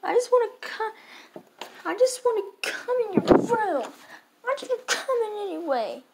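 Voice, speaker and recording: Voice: extremely high pitch, big pitch swings, normal speed, very noisy, exaggerated voice. A young performer playing a scared little boy who whimpers and pleads with his father in a homemade skit. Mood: pleading.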